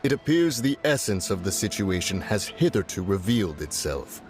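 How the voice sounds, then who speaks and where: A man's voice: low-pitched, sing-song, moderate pace, darkish tone, soft background music. A man voicing an ancient, solemn adeptus in human form, speaking formally in archaic phrasing. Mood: composed. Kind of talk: game voice acting.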